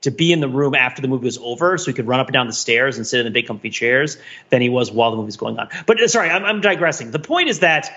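A man co-hosting a podcast, talking casually and digressively about films in an animated, wryly analytical way. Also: medium pitch, racing, dull audio. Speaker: podcast co-host. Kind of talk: casual film discussion, rambling commentary.

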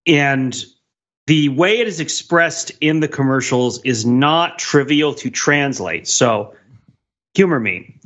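A man giving analytical commentary as a podcast panelist, deliberate and mock-academic, building his points in measured phrases. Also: mid-range voice, lively intonation, a bit bright, lo-fi sound.

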